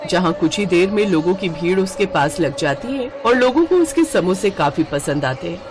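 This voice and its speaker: A woman's voice: fairly low pitch, lively intonation, normal speed. A woman reading scripted narration for a moral story as its narrator. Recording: heavy noise behind the voice.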